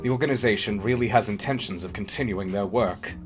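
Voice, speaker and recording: A composed gang leader, acted by a man, with a lowish voice. He speaks seriously and deliberately, in the manner of a crime audio drama. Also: faint music behind, lightly acted. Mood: grave, composed.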